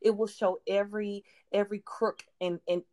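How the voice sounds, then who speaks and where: A woman's voice: mid-range voice, flat delivery, normal speed, a little mellow. A married woman speaking as a podcast guest, giving an earnest faith-based testimony, often repeating words and restarting her sentences. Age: adult.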